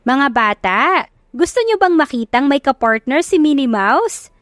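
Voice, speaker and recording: A cheerful Filipina party host, acted by a woman narrator calls out to children in an audiobook story. Her voice is raised high, with long drawn-out announcing words and a dry sound. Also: very animated, fairly bright tone, dry recording, heavily acted. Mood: excited announcing.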